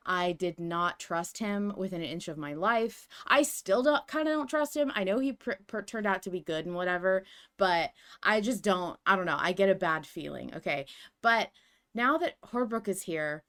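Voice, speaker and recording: Female podcast host, casual and animated, prone to emphatic, rambling asides. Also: medium pitch, moderate pace. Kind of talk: casual discussion of a fiction podcast.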